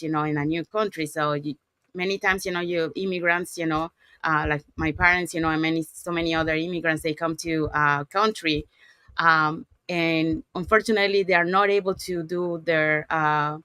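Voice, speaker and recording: A woman's voice: lowish voice, sharp tone, no echo. A woman from Panama, a native Spanish speaker with a Panamanian accent, talking casually as the host of an interview. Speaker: show host.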